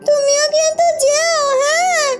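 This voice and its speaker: A woman's voice: very high voice, level tone, a little slow, music in the background. A woman voicing a naive, simple-minded young village girl in a Bengali cartoon, with a childlike, literal, pleading delivery. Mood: chirpy, earnest. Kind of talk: Bengali cartoon fairy-tale voice acting.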